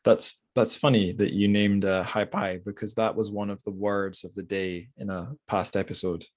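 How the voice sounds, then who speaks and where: A man's voice: on the low side, fairly even intonation, normal speed. A man hosting a podcast, chatting casually and informally with a tentative, wry manner. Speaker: podcast host. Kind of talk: casual book podcast chat.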